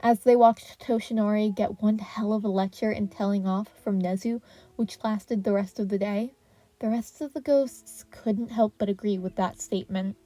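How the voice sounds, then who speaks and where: A woman reading fan fiction aloud as an expressive podfic narrator. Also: mid-range voice, no room sound.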